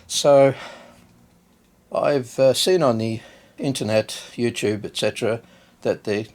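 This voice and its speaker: A man giving a casual, unscripted hands-on demonstration as a hobbyist video host, hesitant and halting as he thinks aloud. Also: medium pitch, a little mellow.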